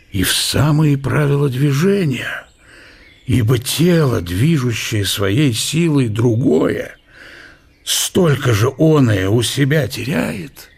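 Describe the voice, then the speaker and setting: An actor playing a brooding middle-aged Russian scientist of the eighteenth century in a radio drama, reflective and declamatory, with archaic, bookish diction. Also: male voice, medium pitch, creaky, darkish tone, slightly rough, exaggerated voice. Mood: solemn reading.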